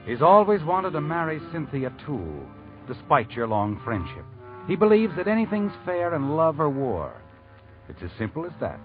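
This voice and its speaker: A man's voice: fairly high pitch, sing-song, normal speed. An actor playing a knowing, ominous male narrator in an old radio suspense drama, addressing the hero in an insinuating, dramatic way. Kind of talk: 1940s radio acting, second-person narration. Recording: muffled old radio broadcast recording. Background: dramatic music.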